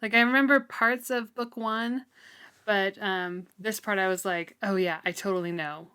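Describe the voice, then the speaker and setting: Woman, medium pitch, podcast co-host, casual book chat, informal and giggly. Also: fairly even intonation, moderate pace, pushed and bright, smooth.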